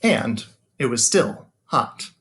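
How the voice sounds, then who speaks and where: An American man, a schoolteacher, speaking to children as he shares a bedtime storybook in an expressive way. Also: mid-range voice, slow, slight background noise. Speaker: elementary school teacher reading a bedtime story. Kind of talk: children's storybook read-aloud.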